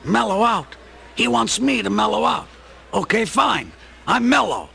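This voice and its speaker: An actor playing a hot-tempered, blunt Brooklyn plumber in a radio play. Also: male voice, high voice, expressive, unhurried, gravelly, sharp tone, heavily acted. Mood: irritated. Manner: blunt, exasperated. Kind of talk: audio drama acting.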